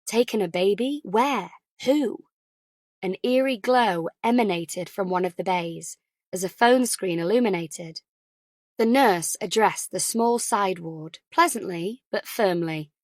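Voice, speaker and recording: A woman narrates a suspense audiobook at an unhurried pace. Her voice sits at an average female pitch, recorded dry and close, with the deliberate delivery of scripted storytelling. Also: dry recording.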